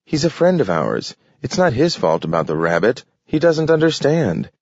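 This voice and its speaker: A man voicing a gentle, idealistic male dog who can talk, pleading in a raised, eager voice. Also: mid-range voice, very animated, moderate pace, no room sound, pitched above the performer's own voice. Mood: earnest. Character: earnest, gentle young male talking dog. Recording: dull, dry recording.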